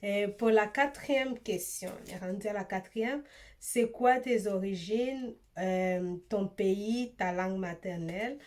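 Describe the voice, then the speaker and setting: A young woman from eastern Congo, Swahili by birth, answers her viewers' questions in a relaxed vlog. She talks at a middle pitch and often stops to search for words, filling the gaps with "euh". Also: takes it slowly. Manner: hesitant, many "euh" fillers and restarts. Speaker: hair care YouTuber.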